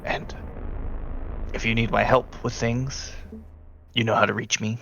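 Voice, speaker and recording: A man narrating a tabletop roleplaying game as game master, speaking haltingly and deliberately. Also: medium pitch, little pitch movement, creaky, fairly bright tone, dull audio, a little hiss or hum. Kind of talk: narrating a tabletop roleplaying game, partly in character as a briefing handler.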